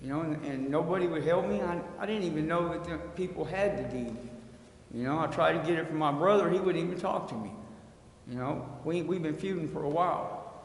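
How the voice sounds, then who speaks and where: A man's voice: a little high, brisk. Echoey man making a public plea. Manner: plain-spoken, pleading. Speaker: resident appealing at a city council hearing. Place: council chamber. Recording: echoey hall, long reverb, faint noise.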